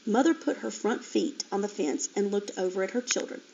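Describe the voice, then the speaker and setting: A woman's voice: mid-range voice, a little fast, creaky, slight background noise. A woman teacher reading a children's chapter book aloud with expression. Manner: expressive, animated. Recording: dull lo-fi recording.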